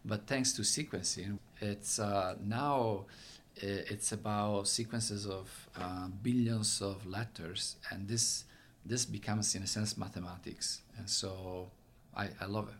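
A man's voice: on the low side, fairly even intonation, slow pace. A man from Italy with a thick Italian accent, explaining his research in an interview, with many fillers and restarts. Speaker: researcher and center director. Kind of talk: interview answers. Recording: faint background noise.

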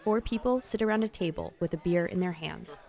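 A woman narrating a news feature in a measured, scripted delivery. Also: lowish voice, darkish tone.

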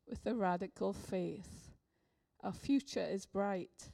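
An older woman preaching a sermon, a little hoarse from a cold, effusive and exclamatory. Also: mid-range voice, slow, mellow.

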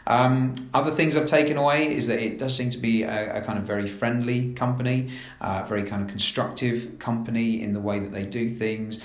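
A man's voice: mid-range voice, steady tone, fairly quick, reverberant. A man in his thirties from London with a London accent, introducing himself as a new recruitment manager in a casual, filler-heavy way. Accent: London English. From London, England. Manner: chatty, frequent "uh" fillers and repeated "very, very". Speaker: assistant director of recruitment. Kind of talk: staff introduction interview.

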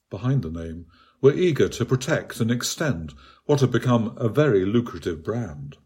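A man reads his own literary essay aloud in a measured, precise, bookish way. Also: lowish voice, a little mellow, husky. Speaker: author reading own article. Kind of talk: scripted literary essay narration.

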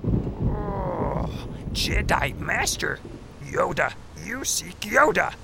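A tiny, ancient alien sage with a very gravelly, croaking voice. His pitch sits high and swings widely as he speaks slowly in backwards phrases, cranky, impish and wise, in an audio drama. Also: big pitch swings, background noise, full character voice. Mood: playful, coaxing. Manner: inverted syntax, chuckles and hums between phrases. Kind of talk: audio drama character acting.

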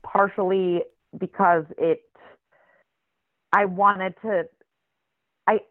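A writer raised in Israel with a flat American accent, speaking as an interview guest in a thoughtful, searching way with many restarts. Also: medium pitch, extremely slow, dry recording. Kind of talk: reflective conversational interview. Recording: tinny phone-line recording.

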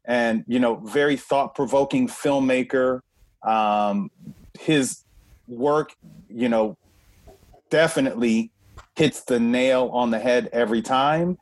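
A man's voice: medium pitch, slow, no room sound. A man co-hosts a talk show and introduces a guest. His delivery is earnest and full of "you know" fillers, and his recording sounds dry and close. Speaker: co-host.

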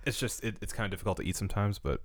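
A man's voice: lowish voice, racing, a little hiss or hum. A man co-hosting a podcast, chatting casually and teasing his friends playfully. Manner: playful, teasing, lively banter. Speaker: podcast co-host. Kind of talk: casual chat.

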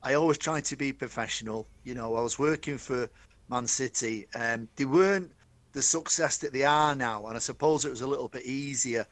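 A middle-aged man with a broad Manchester accent tells his story with lively enthusiasm, often turning to address the host by name. His voice sits at an ordinary middle pitch. The sound is dry, with no room echo. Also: moderate pace. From Manchester, England. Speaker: guest, stadium announcer. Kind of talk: storytelling interview.

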